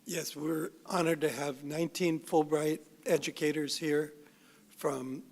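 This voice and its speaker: A man introduces a group of visiting teachers to a public board in a polite, measured way. His voice is husky. It carries the long reverb of a large hall. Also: fairly high pitch, steady tone, darkish tone. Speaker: guest presenter. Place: public meeting room.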